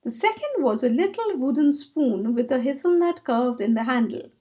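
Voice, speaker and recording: A woman reads a children's story aloud. Her voice is high, with lively, sweeping intonation suited to a picture book. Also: moderate pace.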